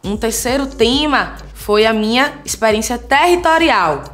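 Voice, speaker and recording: A woman's voice: fairly high pitch, expressive, measured pace, soft background music. Bahian woman vlogging, earnest and chatty. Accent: Bahian Portuguese. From Salvador, Bahia, Brazil. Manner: warm, earnest, conversational, frequent tag questions. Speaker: vlogger, content creator. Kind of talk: personal vlog talk to viewers.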